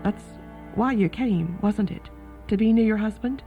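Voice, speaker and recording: A woman's voice: mid-range voice, moderate pace, natural voice. An actress playing a kindly, chatty, fussing boarding house landlady in a period radio drama. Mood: gently concerned. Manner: fussing, hospitable, gossipy. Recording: thin phone-like band, faint music behind.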